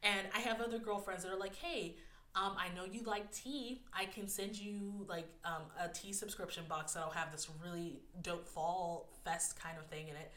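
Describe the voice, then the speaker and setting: A woman's voice: medium pitch, fairly even intonation, normal speed, fairly bright tone, echoey room. A young woman hosting a personal podcast, candid and close to tears, her voice a bit nasal, laughing often between filler words. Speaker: podcast host. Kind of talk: personal solo podcast talk.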